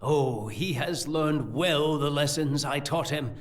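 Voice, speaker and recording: A man playing a learned, scheming sorcerer-priest in a fantasy audio drama, speaking in a deliberate, formal, explanatory way. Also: fairly high pitch. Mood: calm, ominous.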